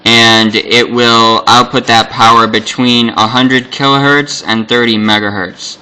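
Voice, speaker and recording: A man with a bright, clear voice talks through hobby electronics in a casual, informal way. He goes slowly and haltingly, explaining technical details piece by piece as he demonstrates. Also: mid-range voice, flat delivery, low-quality recording. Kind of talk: casual explanatory product walkthrough.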